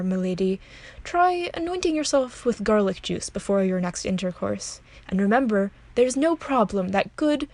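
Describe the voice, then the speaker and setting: A woman's voice: medium pitch, dry recording. Woman acting as breezy folksy radio advice host, matter of fact, close dry sound. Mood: folksy, reassuring. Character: breezy female radio agony aunt for vampire lovers. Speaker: call-in show host. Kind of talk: comic radio drama call-in hosting.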